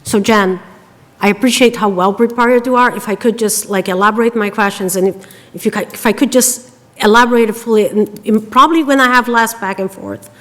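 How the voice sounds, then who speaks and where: A woman's voice: medium pitch, a little fast, a bit bright. A woman with a Brazilian Portuguese accent puts persistent questions at a committee meeting, stopping and restarting her sentences as she works toward the point. Her voice sits in a mid range and trails in a long reverb from the meeting room. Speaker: finance committee member. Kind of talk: public meeting discussion.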